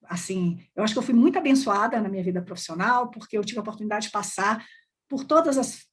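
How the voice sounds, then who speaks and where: A woman's voice: mid-range voice, expressive, fast talker, husky. A middle-aged Brazilian woman with a Bahian accent, talking effusively and discursively as a guest in a conversational interview. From Salvador, Bahia, Brazil. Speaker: podcast guest, corporate HR executive.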